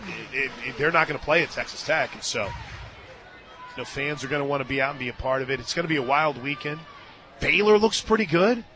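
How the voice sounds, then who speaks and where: High-pitched male softball radio announcer. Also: brisk, noisy background. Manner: conversational, excited on big plays. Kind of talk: live softball commentary with casual booth chat. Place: stadium radio booth.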